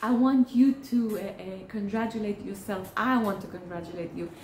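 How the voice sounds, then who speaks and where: Mellow, earnest woman. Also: mid-range voice, slight background noise. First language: Hebrew. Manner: earnest, encouraging, halting with frequent restarts. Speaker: therapist. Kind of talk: direct-to-camera advice talk. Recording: roomy echo.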